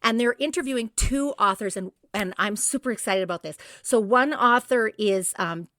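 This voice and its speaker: A woman's voice: a little high, normal speed, fairly bright tone, no room sound, clean studio sound. A middle-aged woman co-hosting a podcast, chatting enthusiastically as she runs through event listings. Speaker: podcast co-host. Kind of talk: casual event listings and chat.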